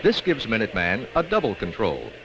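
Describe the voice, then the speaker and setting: An American man reads scripted training film narration in an instructional way with measured emphasis, on an old 1960s film soundtrack. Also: lowish voice, huge pitch swings, highs cut off, noisy background.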